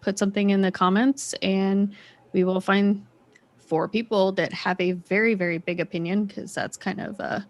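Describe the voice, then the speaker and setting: A woman's voice: medium pitch, fairly even intonation, normal speed, no room sound. A woman hosting a casual group podcast, playful and often chipping in. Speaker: podcast host. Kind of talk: casual chat.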